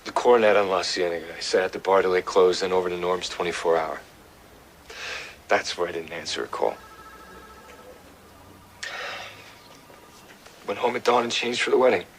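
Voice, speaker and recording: An actor playing an embittered American fiance in his twenties, halting and defensive under police questioning in an audio drama. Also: low voice, slight background noise, close to an everyday voice. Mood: subdued confession.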